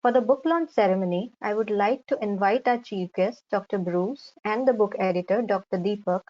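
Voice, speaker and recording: A woman's voice: medium pitch, normal speed. A woman hosting a formal ceremony, announcing the next part of the event. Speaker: event host. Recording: slightly dull online call audio.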